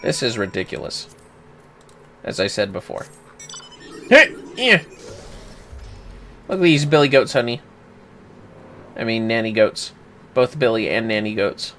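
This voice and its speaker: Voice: medium pitch, animated, a little slow, pauses often, a bit bright, soft background music. A livestreamer chatting casually over a game, with wry, sweary grumbling. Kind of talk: casual game stream chat.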